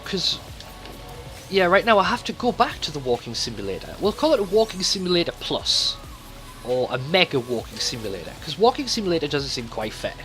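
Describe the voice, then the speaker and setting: A man's voice: fairly high pitch, sing-song, brisk, a bit bright, faint music behind. A man hosting a gaming video, talking casually and thinking aloud in a conversational let's play commentary.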